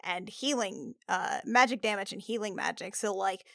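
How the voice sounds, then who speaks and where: A woman podcaster in thoughtful unscripted discussion, haltingly thinking aloud as she explains. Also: medium pitch, creaky, sharp tone, dry recording, clean studio sound. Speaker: podcast cast member and player.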